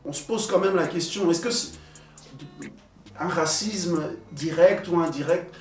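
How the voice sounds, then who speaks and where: A young man who grew up in Belgium, telling his own experiences in a relaxed group talk with many fillers and pauses. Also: fairly high pitch. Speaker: panel guest. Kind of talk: casual conversation. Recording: echoey room, distant mic, soft music.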